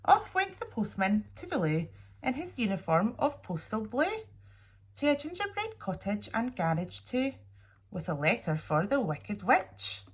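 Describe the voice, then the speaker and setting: A woman reading a children's storybook aloud expressively, with dramatic pauses and little asides to young listeners. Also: a little high, wildly swooping pitch, husky, rough recording. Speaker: teacher reading a picture book aloud.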